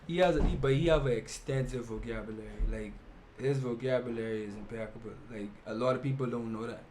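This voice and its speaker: A man's voice: medium pitch, quick pace, a little hiss or hum. A man, chatting animatedly as a guest on a casual podcast. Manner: animated, laughs, slips into quoted voices. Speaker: guest battle rapper.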